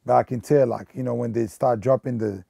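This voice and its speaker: A man's voice: mid-range voice, steady tone, a little fast, gravelly, warm tone, slightly rough, no echo, clean studio sound. A man in his thirties from Belgium, a sneaker store manager, talking casually in a rambling way with lots of fillers and restarts. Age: 30s. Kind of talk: casual documentary interview.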